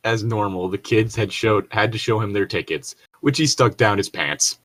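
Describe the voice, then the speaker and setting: A man's voice: fairly low pitch, moderate pace, fairly bright tone. A man co-hosting a casual read-along, reading stories aloud and breaking off into rambling, joking commentary. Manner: rambling, jokey, chuckles at own remarks.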